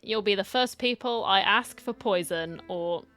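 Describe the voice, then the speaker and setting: A woman's voice: medium pitch, a little slow, fairly bright tone, soft background music. A woman streamer giving casual game reaction commentary with dry, wry asides.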